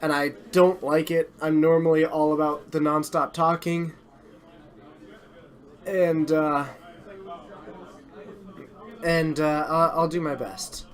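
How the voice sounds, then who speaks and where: Excitable male stream host, fraying voice. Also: fairly high pitch, slow, long pauses. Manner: chatty, excitable, self-deprecating. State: losing his voice. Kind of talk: live card game stream commentary.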